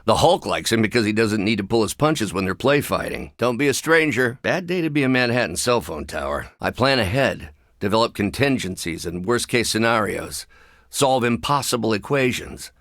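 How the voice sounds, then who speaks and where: An actor voicing a brilliant, anxious, wry scientist with buried anger in a video game, speaking in precise, articulate, dryly sardonic phrases. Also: male voice, fairly low pitch, animated, moderate pace, fairly bright tone, dry recording, studio quality. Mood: friendly, wry.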